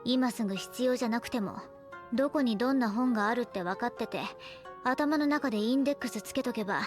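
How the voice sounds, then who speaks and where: An actress playing a gruff, blunt but caring woman researcher in a Japanese anime audio drama. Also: on the high side, brisk, soft background music, natural voice. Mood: dry, lecturing. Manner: blunt, rough-spoken, grumbling.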